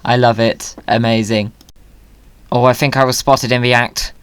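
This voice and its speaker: Playful gaming host for casual commentary, middle pitch, very dry close-miked recording. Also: level tone, moderate pace, a bit bright, clean tone, dry recording. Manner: whimsical, playful. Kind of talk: casual gameplay commentary and chat.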